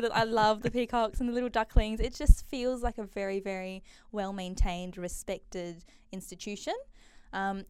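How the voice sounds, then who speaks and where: Chatty young woman with a very clear voice. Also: mid-range voice, no echo. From Malaysia. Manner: chatty, many um and uh fillers. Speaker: interview guest. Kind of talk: casual interview conversation.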